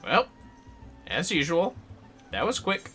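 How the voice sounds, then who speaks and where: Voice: mid-range voice, sing-song, slow, pushed and bright, faint music behind. A gamer giving casual livestream commentary in a playful, reactive, sweary way. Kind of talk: casual game-stream commentary.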